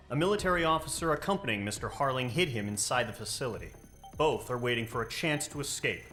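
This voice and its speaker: A stern military briefing officer, music behind. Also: male voice, medium pitch, pushed and bright. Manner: formal, measured, authoritative. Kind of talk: scripted mission briefing. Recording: music under the voice.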